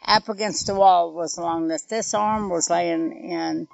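A woman's voice: on the low side, steady tone, measured pace, gravelly. A middle-aged woman with a rural Oklahoma accent, recounting events first-hand in a blunt, matter-of-fact, conversational way. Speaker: interviewee, mother recounting events. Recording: muffled interview recording, music underneath.

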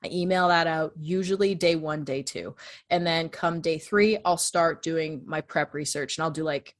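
A woman with a low-registered voice, chatting casually as she walks through her podcast process step by step. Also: normal speed. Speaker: true crime podcast host. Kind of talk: casual conversation.

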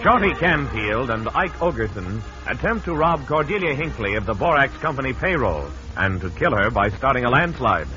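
A man's voice: medium pitch, very animated, moderate pace, hardly any pauses, loud music behind the voice. An American man narrating a radio serial in a theatrical, formal announcer style. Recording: muffled vintage radio recording.

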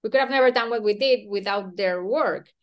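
A woman from Peru, an author interviewed about her book, speaking thoughtfully with frequent restarts, hedges and soft laughs. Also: mid-range voice, brisk. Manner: hesitant, self-questioning, with many restarts and soft laughs. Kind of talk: thoughtful academic conversation.

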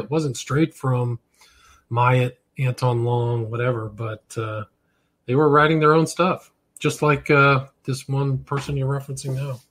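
A man hosting an interview, tired and relaxed in a casual conversational way. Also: medium pitch. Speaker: podcast host.

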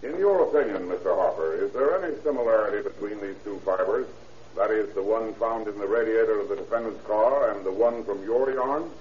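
Actor as a formal low-voiced defense attorney, echoey recording. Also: male voice, close to an everyday voice. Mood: confident, formal. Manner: formal, deliberate, politely lawyerly. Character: courteous, methodical male defense attorney questioning a witness. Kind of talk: old-time radio courtroom drama acting. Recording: echoey, muffled old radio recording, faint hiss.

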